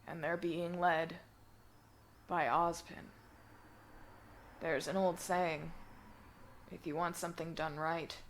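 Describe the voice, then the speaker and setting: Woman doing a stern tired cartoon henchwoman, quiet n low, grim and measured. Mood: subdued, grim. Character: stern, weary, restrained female henchwoman of villains. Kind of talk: dramatic animation fandub acting.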